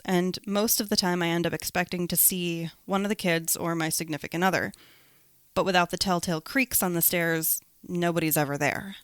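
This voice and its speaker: Woman podcast host with a clear, close voice. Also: on the low side, fairly bright tone, no echo. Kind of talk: scripted personal storytelling with casual asides.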